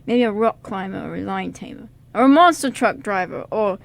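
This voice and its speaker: A woman playing an earnest, excitable teenage boy who gushes and stammers in an amateur radio drama reading. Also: mid-range voice, moderate pace, gravelly. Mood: excited, gushing. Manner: stammering, gushing, rambling.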